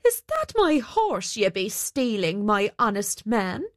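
A woman's voice: medium pitch, big pitch swings, takes it slowly, no room sound, studio quality. A woman voicing an indignant male country miller in a storybook, pointedly polite and scolding. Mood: wary, indignant. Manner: mock-polite turning to loud scolding. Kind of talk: storybook character voice.

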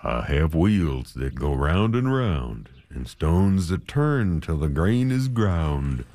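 A man voicing a dusty miller who speaks slowly in rhyme. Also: sing-song, warm tone, exaggerated voice. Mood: calm. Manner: slow, deep rhyming. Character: slow, dusty old miller.

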